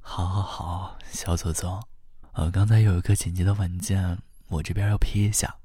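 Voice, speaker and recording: An actor playing a gentle, devoted young boyfriend in a radio drama, speaking softly, tenderly and unhurriedly with a wistful air. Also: male voice, low voice, little pitch movement, no room sound. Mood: coaxing, doting. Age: young adult. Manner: soft, tender, unhurried, breathy phrase endings. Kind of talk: intimate first-person radio drama narration. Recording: music behind the voice.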